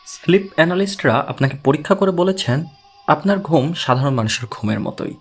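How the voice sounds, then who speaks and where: A man voicing a patient, analytical male investigator in an audiobook story, explaining and questioning in a measured way. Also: on the high side, lively intonation, breathy edge. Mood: calm, analytical.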